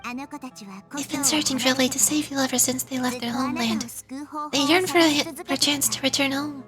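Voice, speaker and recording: A woman voicing a calm, wise, earnest young nature goddess from a video game, in measured, formal speech. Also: high voice, over music, natural voice. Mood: firm, reasoning.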